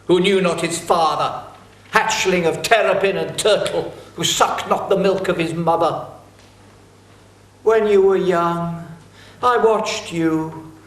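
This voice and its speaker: A man's voice: a little high, fairly bright tone, heavily acted. A man playing a ferocious, scornful forest monster in a dramatic epic reading, taunting in declamatory style. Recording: roomy, echoing recording.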